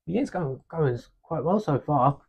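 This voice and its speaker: A man hosting a long live stream from his desk, chatting casually and thinking aloud as he builds, with a relaxed, self-deprecating manner. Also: fairly high pitch, unhurried, vocal fry, husky. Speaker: livestream host building a brick set. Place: home desk during a livestream. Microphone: desk microphone.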